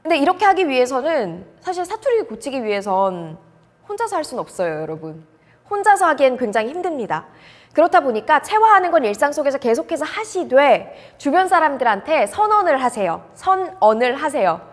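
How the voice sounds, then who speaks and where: A woman's voice: sits high, very animated, fairly quick, big-room sound. A woman broadcaster giving career advice straight to the viewers in a polite, earnest way. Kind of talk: direct-to-camera advice talk.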